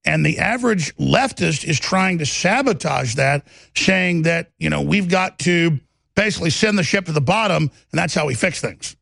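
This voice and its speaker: Gravelly Texan man, heavy vocal fry. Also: a little high, lively intonation, normal speed, no echo. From Texas. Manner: forceful, confident, aggressive; emphatic, repetitive lists and rhetorical questions. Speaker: political talk show host. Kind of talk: impassioned political commentary monologue.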